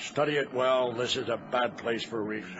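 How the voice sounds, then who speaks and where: Actor as courteous ship's captain, noisy old radio. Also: male voice, mid-range voice, steady tone, moderate pace, close to an everyday voice. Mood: weary. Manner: formal, old-fashioned courteous speech. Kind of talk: old-time radio drama acting. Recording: noisy, muffled vintage radio recording.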